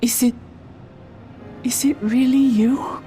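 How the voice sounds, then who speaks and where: An actress playing an earnest, tender-hearted young heroine in a game cutscene, halting and emotional. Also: female voice, on the high side, steady tone, dark tone, soft background music. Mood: hopeful, pleading. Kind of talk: dramatic video game cutscene acting.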